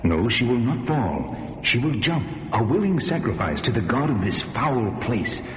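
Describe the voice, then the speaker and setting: An actor playing a devout, excitable warrior archer in a fantasy radio drama, with archaic, dramatic delivery. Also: male voice, mid-range voice, unhurried. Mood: awed, grave. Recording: muffled radio recording, echoey hall, music.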